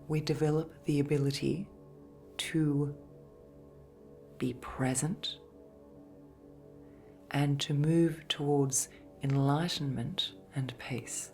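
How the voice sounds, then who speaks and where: A woman gently narrating a guided sleep meditation in a soft, breathy, soothing voice, with measured phrases and long pauses. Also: low-pitched, fairly even intonation. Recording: voice over background music. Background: meditation music.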